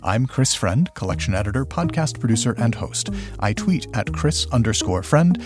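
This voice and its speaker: Guy doing a scripted podcast host read, middle pitched voice, theme music clearly playing behind him. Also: big pitch swings, moderate pace. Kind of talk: scripted podcast outro narration.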